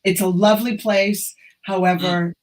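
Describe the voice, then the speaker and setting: A woman's voice: medium pitch, fairly even intonation, normal speed, a little mellow. An American woman in her sixties, a doctor being interviewed, speaking with emphatic enthusiasm. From USA. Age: 60s. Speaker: medical doctor guest. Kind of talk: conversational interview.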